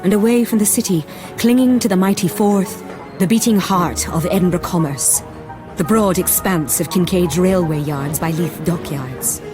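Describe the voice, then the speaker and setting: Woman acting as atmospheric storyteller, fairly low voice, deliberate dramatic phrasing, radio play narration, music underneath. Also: close to an everyday voice. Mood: vivid, foreboding. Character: knowing, atmospheric female storyteller.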